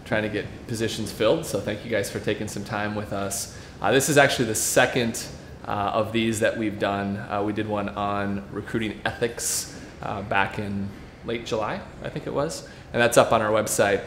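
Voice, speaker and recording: A man moderating a panel before an audience, relaxed, with many fillers and restarts. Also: fairly low pitch. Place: event room before an audience. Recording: echoey room with faint noise.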